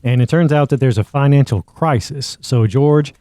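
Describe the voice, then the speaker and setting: A male podcast host with a dark, mellow voice talks close to the mic in a dry space. His narration is a casual, conversational recap. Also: mid-range voice, moderate pace, no room sound.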